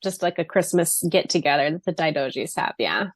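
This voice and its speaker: A woman's voice: sits low, animated, a little fast, dry recording. A woman podcast co-host chatting casually about a book in an informal, jokey way, mimicking imagined lines. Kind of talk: casual book-discussion chat.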